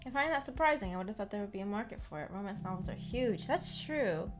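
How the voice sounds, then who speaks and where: A giggly woman hosting a book club stream, chatting casually with viewers and musing out loud. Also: mid-range voice, lively intonation, fast talker, over music. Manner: musing, thinking aloud.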